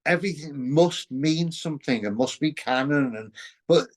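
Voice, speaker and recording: A man with a slightly high voice hosts a casual interview. He phrases things haltingly, hesitating as he works toward his point. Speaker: interview show host.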